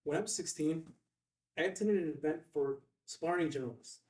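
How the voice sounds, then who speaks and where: A man's voice: fairly high pitch, a little fast, darkish tone, lightly acted. A man playing a nervous, deferential young reporter in a film table read, hesitant and awkward. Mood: nervous, admiring. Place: room at a filmed table read. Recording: roomy, distant microphone.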